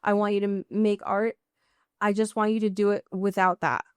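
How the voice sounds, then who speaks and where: An American woman co-hosting a podcast in casual, comedic chat, riffing with mock exasperation and drawn-out emphasis. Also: mid-range voice, flat delivery, smooth, dry recording. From US Pacific Northwest. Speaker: podcast co-host.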